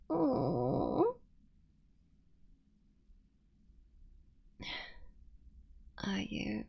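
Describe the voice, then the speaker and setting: Immortal fox-spirit witch, tender wife, played by a woman in hushed ASMR whispers, slow and gravelly, breathy tone. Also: medium pitch, highs cut off, exaggerated voice. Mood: tearful, pleading. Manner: soft intimate whispering. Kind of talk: ASMR roleplay.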